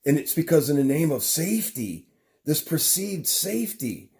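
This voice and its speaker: Mellow-voiced rambling man. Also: fairly high pitch, big pitch swings, moderate pace. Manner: rambling, earnest, with many restarts. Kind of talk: unscripted political monologue.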